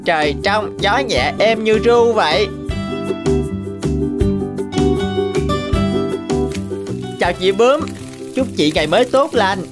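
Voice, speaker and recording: A woman's voice: mid-range voice, expressive, normal speed, lightly acted. A woman voicing a naive, eager young stick insect in a cartoon fable, childlike and earnest. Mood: cheerful, admiring. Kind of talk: animated fable dialogue. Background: prominent background music.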